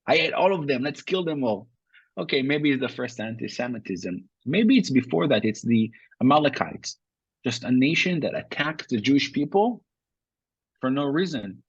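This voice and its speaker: Israeli man, husky voice, dry sound. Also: medium pitch, big pitch swings, moderate pace, no room sound, lo-fi sound. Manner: thoughtful, with frequent restarts and uh fillers. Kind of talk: interview answers.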